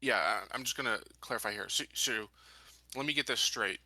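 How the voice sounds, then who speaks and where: A man playing a tabletop game, talking casually and haltingly as he lays things out and seeks clarification. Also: medium pitch, pushed and bright. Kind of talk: casual game-table talk with in-character questioning.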